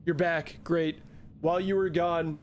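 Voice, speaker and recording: Young male streamer, profane and jokey, slightly high voice, faint background hiss. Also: flat delivery, measured pace. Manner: jokey, profane, talks to chat. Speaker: gaming streamer. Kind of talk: casual gameplay commentary.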